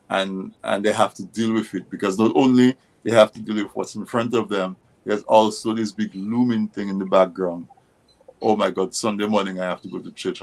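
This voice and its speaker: A man with a fairly low voice speaks as an interview host. His delivery is conversational, explanatory and deliberate, building each point step by step. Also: darkish tone.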